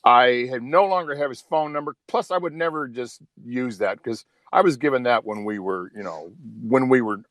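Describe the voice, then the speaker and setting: A man's voice: medium pitch, moderate pace, hardly any pauses, fairly bright tone, dry recording. A man in his fifties chatting candidly on a call-in show, rambling, repeating himself and swearing freely. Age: 50s. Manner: rambling, repetitive, profane. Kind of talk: casual, candid chat.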